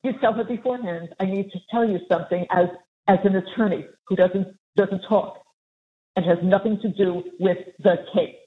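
A woman's voice: medium pitch, fairly even intonation, normal speed, breathy edge. A woman in a private conversation on a recorded phone call, heard over a narrow, tinny line.

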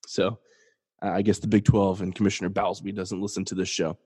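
A man's voice: sits low, level tone, a little fast, dark tone, slightly rough. A young man with a stuffy, sniffly nose hosting a solo sports podcast, talking casually and joking at his own expense. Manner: casual, jokey, restarts and self-deprecating asides. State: congested, sniffly. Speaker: podcast host.

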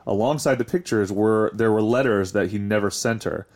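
A man with a fairly low voice hosts a relaxed talk podcast. He speaks informally, in the loose style of chatting among friends or reading out stories to them. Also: normal speed. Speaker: podcast host. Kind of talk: casual chat, reading listener confessions aloud.

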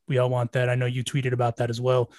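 An American man with a mid-pitched voice in a very dry recording. He hosts casually, winding his way through long, wordy questions. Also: monotone, fast, a little mellow, no room sound. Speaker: podcast co-host.

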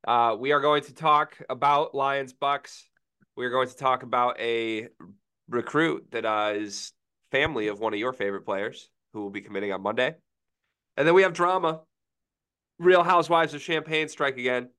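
Man, sports podcast co-host, sick, deadpan, smooth resonant voice, dry close sound. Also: mid-range voice, normal speed, no room sound. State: sick, feeling rough. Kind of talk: casual sports podcast chat.

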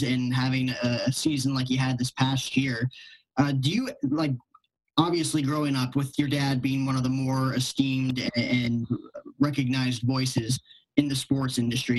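Man with a mid-pitched voice, interviewing. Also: rough recording. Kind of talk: casual interview chat.

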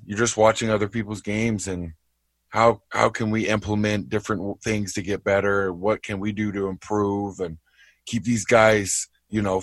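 A man with a raspy voice co-hosting a casual sports podcast, laid-back and talking about playing. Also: fairly low pitch, no room sound. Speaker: basketball player and podcast co-host.

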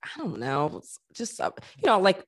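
A woman's voice: on the low side, wildly swooping pitch, normal speed. A woman chatting casually as a podcast co-host, reacting to a guest.

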